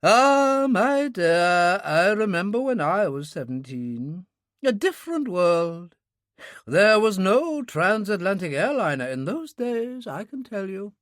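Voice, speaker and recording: A man reading an audiobook, voicing a spry elderly woman, a former stage star, with a brisk, teasing, sharp-tongued manner. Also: high voice, sing-song, dry recording, clean studio sound, voice pushed up, full character voice. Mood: warm chatty.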